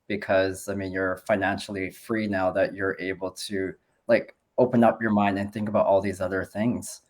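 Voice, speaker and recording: A man's voice: deep voice, little pitch movement, moderate pace. A man in his forties from the Philippines, a nurse and business owner, talking in a friendly, conversational way on a group video call, with many ums.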